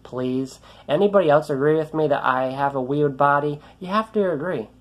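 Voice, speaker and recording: A man's voice: fairly high pitch, normal speed. A young man ranting frustrated into a phone held at arm's length in a small room, his voice light and youthful, rambling, swearing and restarting his sentences. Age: young adult. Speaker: vlogger. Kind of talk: ranting selfie vlog. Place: small room indoors, then outdoors on a road.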